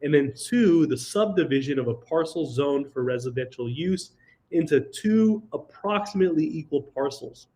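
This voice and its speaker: A man with a slight cold and a nasal voice, giving an online slide presentation over a video call, halting with ums, restarts and throat clearing. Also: on the high side, animated, mellow. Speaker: presenter of a housing webinar.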